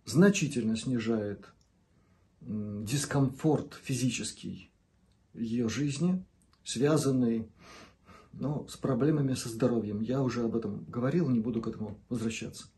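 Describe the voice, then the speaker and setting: A man hosting a channel, speaking in an unscripted monologue to viewers. He is measured and deliberate, pausing between short phrases and stretching words for emphasis. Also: medium pitch, warm tone. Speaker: channel host.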